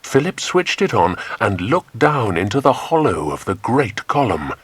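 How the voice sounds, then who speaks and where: A man with an English accent narrating a children's adventure story in a measured, dramatic storytelling manner. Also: fairly low pitch, very animated, fairly bright tone, slightly rough, no echo. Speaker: audiobook narrator.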